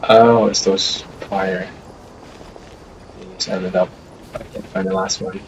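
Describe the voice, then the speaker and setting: A man's voice: deep voice, flat delivery, slow pace, background noise. A man streaming a video game, muttering casual, fragmentary commentary to himself. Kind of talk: casual offhand live gameplay commentary. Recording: distant mic, game music behind. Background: game music.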